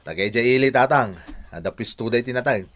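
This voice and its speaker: An actor playing a headstrong, easily smitten young bachelor in a radio drama, speaking with a Filipino accent. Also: male voice, mid-range voice, brisk, fairly bright tone, natural voice. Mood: smitten, excited. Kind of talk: Ilocano radio drama acting.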